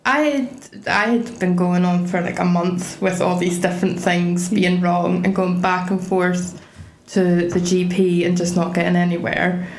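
A woman's voice: lowish voice, little pitch movement, unhurried. A young woman, a podcast guest telling her story in a wry, chatty way. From Scotland. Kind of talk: casual chat. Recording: echoey room with faint background noise.

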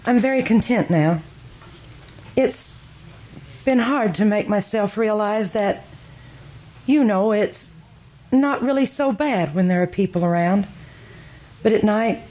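Casting: female actor for grieving, fragile but unyielding wife, medium pitch, old-time radio play. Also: darkish tone, natural voice. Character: grieving, stubborn wife clinging to lost husband.

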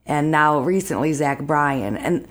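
A woman singer with a raspy voice, chatting upbeat and laughing easily as a guest on a talk show. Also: deep voice, lively intonation, unhurried. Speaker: singer and band leader, guest. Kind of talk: casual interview chat.

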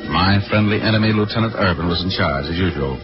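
A man's voice: deep voice, quick pace, natural voice. An actor playing a cocky, wry American private detective who narrates his own case in 1940s radio style. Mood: wry narrating. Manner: breezy, flippant, wry. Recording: dull 1940s radio recording with music.

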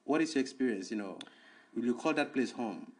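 Young guy from nigeria hosting a chat, slow and thinking out loud, lots of "you know". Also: mid-range voice, animated, slightly rough. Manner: unhurried, pondering, many "you know". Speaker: host. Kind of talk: casual conversation.

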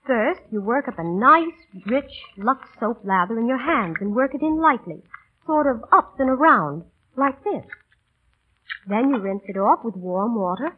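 An enthusiastic young woman chatting in a vintage radio commercial. Her voice is high and very animated with a slight husk, through a narrow old recording. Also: husky, heavily acted. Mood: instructive, pleased. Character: chatty young woman demonstrating a beauty routine. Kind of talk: 1930s radio ad acting.

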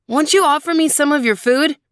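A young goatherd boy from a folktale, played by a woman. His voice is high and politely pleading, that of a hungry child, recorded very dry. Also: a little fast, fairly bright tone, dry recording.

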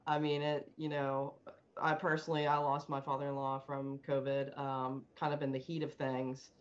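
A woman's voice: extremely low pitch, little pitch movement, normal speed, highs cut off. A middle-aged woman chatting casually and candidly as a panel guest on a call. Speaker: panel guest working in healthcare.

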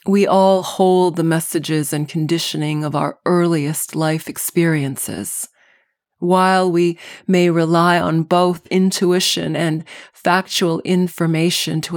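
A woman podcast host narrating a scripted guided meditation in measured, deliberate phrases. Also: fairly low pitch, no echo, clean studio sound.

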